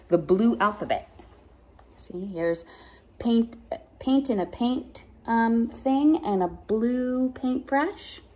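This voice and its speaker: Slow, mellow middle-aged woman at story time. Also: medium pitch. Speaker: pastor reading story time for children. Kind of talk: reading poems aloud with casual asides to children. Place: bright dining room at home.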